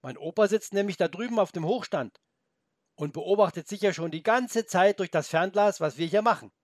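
A man voicing a brave young boy in a storybook, speaking high, urgent and pleading. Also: moderate pace, dry recording, clean studio sound. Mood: defiant. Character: brave young boy, frightened but clever. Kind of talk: storybook reading.

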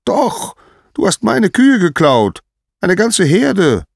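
A man voicing an angry, indignant god who booms out accusations in a storybook telling. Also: measured pace, gravelly, husky, no echo, studio quality, exaggerated voice. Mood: furious, accusing. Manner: booming, indignant, emphatic. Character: furious thundering god accusing a thief.

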